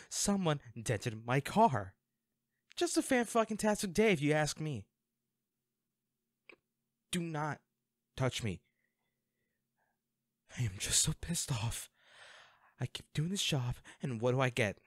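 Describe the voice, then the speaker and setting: A man's voice: a little high, sing-song, measured pace, long pauses, no room sound, studio quality. A man acting a hot-tempered but caring boyfriend in an intimate audio roleplay, with naturalistic, sweary delivery. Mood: angry, irritated. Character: hot-tempered boyfriend, stressed then remorseful.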